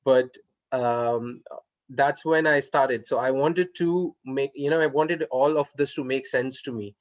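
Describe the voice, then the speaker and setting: A man's voice: medium pitch, fairly even intonation, unhurried. A young man from India chatting in a casual conversation, talking with many fillers and restarts. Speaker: interviewer. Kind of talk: casual video call conversation.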